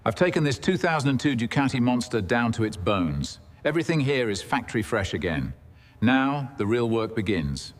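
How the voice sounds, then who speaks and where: A man's voice: mid-range voice, big pitch swings, moderate pace, slight background noise. A man delivering scripted documentary-style narration in short, dramatic statements.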